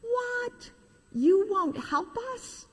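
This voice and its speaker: Woman voicing high, pleading little storybook toys. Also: expressive, very slow, exaggerated voice. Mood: shocked, indignant. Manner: exclaiming, childlike pleading. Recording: reverberant hall.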